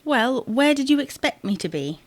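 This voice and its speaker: A woman's voice: high-pitched, big pitch swings, normal speed, no room sound. A British woman playing an exasperated, matter-of-fact wife bickering over household matters in an audio sitcom. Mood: irritated. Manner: nagging, matter-of-fact, tag questions. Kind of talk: audio sitcom acting, domestic dialogue.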